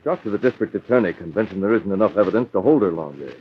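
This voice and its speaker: An actor playing a confident, calculating publisher and masked vigilante in an old radio drama. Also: male voice, low-pitched, animated, very fast. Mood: confident, decisive. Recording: muffled, faintly noisy old radio recording.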